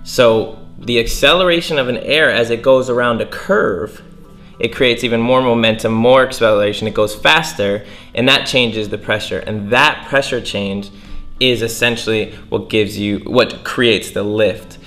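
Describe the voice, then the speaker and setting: A man's voice: mid-range voice, moderate pace, a bit bright, soft background music. A young man hosting a casual lesson, explaining how sailing works in plain words while gesturing, indoors by a window. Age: young adult. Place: indoors by a window near the water.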